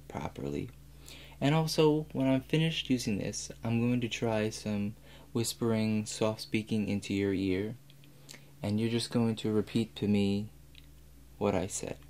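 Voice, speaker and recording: A man in his twenties speaking softly and calmly as a doctor in an ASMR role play, guiding the listener step by step. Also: fairly low pitch. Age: 20s. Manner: calm, measured, instructing the listener step by step. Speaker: role-player acting as an examining doctor. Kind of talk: soft-spoken ASMR medical role play. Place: small room indoors. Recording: clear steady background hum.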